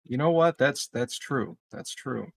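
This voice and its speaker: A man's voice: mid-range voice, a little slow, creaky, no room sound. A man playing a tabletop game, improvising casually with joking, mock-boastful, stammering talk.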